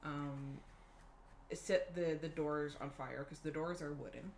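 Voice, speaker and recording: A woman's voice: sits low, fairly even intonation, takes it slowly, creaky, darkish tone. A woman talking casually as a player in a tabletop game group. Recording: roomy echo, faint background noise.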